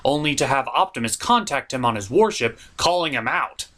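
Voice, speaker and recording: A man's voice: medium pitch, expressive, brisk, a bit bright. A man hosting a cartoon review show, delivering scripted comedic narration in a theatrical, jokey, emphatic way.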